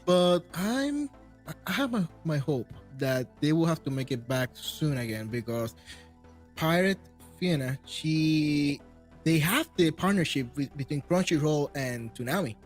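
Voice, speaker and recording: A man hosting a casual panel chat in English, telling stories in an animated way. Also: on the high side, unhurried, faint music behind. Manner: non-native English phrasing, animated storytelling. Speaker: show host.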